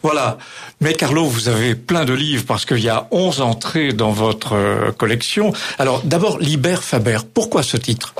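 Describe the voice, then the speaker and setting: A man's voice: medium pitch, lively intonation, normal speed, slightly rough. A male radio host interviewing guests, speaking in an effusive, flowery way.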